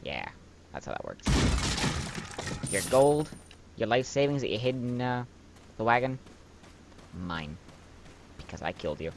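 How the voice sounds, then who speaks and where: A man's voice: medium pitch, big pitch swings, a little slow, creaky, long pauses, background noise. A young man giving casual, joking commentary while playing a video game. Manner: joking, rambling, wry asides. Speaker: let's play commentator.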